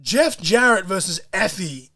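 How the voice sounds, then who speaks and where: A man hosting a solo podcast, talking in an excitable, profane way full of wrestling-fan slang. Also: high-pitched, a little slow. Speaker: podcast host.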